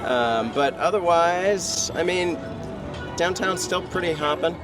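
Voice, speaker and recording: A man's voice: on the high side, expressive, slow pace, low-quality recording. A middle-aged man and local parent answering a street interviewer in an easygoing, hesitant way. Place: outdoors on a city street. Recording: outdoor street recording with music bed.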